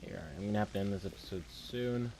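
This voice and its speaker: A man giving casual let's play commentary, reacting informally out loud as he plays. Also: fairly low pitch, fast talker, noisy background. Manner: informal, reacting aloud to the game. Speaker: gaming video host. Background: video game ambience.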